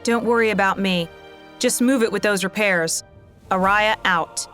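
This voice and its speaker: Woman acting a resolute game soldier, mid-pitched, commanding, terse, vengeful, over prominent music. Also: a little slow, lightly acted. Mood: determined. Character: tough, vengeful female soldier, resolute and sardonic. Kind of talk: video game cutscene acting. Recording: loud music behind the voice.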